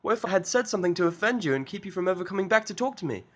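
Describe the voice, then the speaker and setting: A man's voice: high voice, lively intonation, rapid-fire, pitched above the performer's own voice. A man voicing an anxious, bookish young female unicorn with a fretful, apologetic manner in a fan fiction audio drama. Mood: distraught, rambling.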